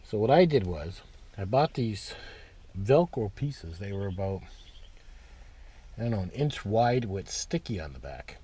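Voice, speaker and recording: A man giving a casual, unscripted DIY walkthrough, explaining step by step in a plain-spoken, halting way. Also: lowish voice, very animated, mellow, breathy edge. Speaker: DIY how-to presenter. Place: in or beside a parked pickup truck.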